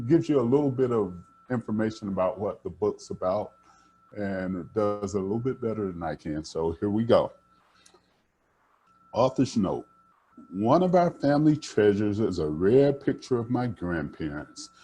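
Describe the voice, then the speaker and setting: Older male storyteller, husky mellow voice, slow pace, animated read-aloud, occasional stumbles. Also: on the low side. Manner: unhurried, animated, stumbles and rereads phrases. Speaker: storytime host reading picture books. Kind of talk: expressive read-aloud narration for children.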